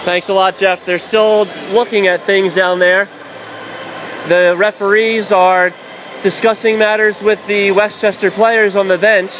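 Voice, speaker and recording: A man calling hockey play-by-play on radio, rising to shouts when a goal goes in. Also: sits high, level tone, a little hiss or hum, rough recording. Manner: rapid, shouting up on goals.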